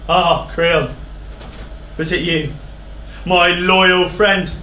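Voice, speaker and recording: A man's voice: high-pitched, steady tone, extremely slow, bright, forceful tone, heavily acted. An actor playing a proud, hot-tempered king in a stage verse drama, declamatory and scornful. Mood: bitter accusing. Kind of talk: theatrical verse stage acting. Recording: echoey theatre stage recording, faint noise.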